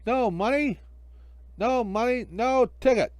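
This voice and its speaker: Man doing a fussy, high-pitched ticket clerk. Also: slow, no echo. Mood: officious. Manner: rattles off lists, officious. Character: fussy railway ticket clerk, matter-of-fact. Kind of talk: amateur children's storybook reading.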